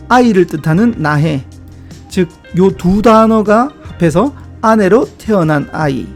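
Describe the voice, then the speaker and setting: Brief: man, middle-aged, warm mellow tone, relaxed chatty explainer, soft music bed underneath. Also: sits high. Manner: chatty, reflective asides and small self-deprecating jokes. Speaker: book-review video host. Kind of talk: casual explanatory talk about word origins.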